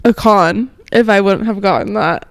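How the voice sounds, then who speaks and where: A woman's voice: medium pitch, normal speed, vocal fry. A young woman co-hosting a podcast in casual conversation, giggly and self-deprecating, speaking into a close handheld microphone. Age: young adult. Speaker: podcast co-host. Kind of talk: casual chat. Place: living room, indoors. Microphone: handheld microphone held close.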